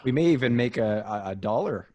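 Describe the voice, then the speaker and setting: A man's voice: mid-range voice, animated, brisk, mellow, no room sound, muffled recording. A man in casual, joking chat as a podcast cast member.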